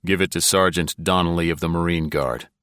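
A male narrator playing a tough, cool-headed American agent who speaks low and deliberately. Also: steady tone, a bit bright, smooth, no echo, clean studio sound.

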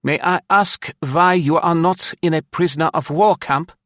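Man voicing a weary, officious German police officer, pitched up. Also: fairly bright tone, dry recording, studio quality. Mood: tired, officious. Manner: brisk, tired, officious questioning.

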